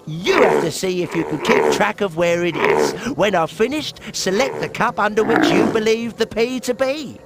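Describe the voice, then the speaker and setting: A man playing a cheeky market vendor in a video game, with a gravelly voice. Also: high voice, big pitch swings, moderate pace, hardly any pauses, heavily acted. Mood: cheeky, patter. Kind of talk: video game cutscene acting. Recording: loud music behind.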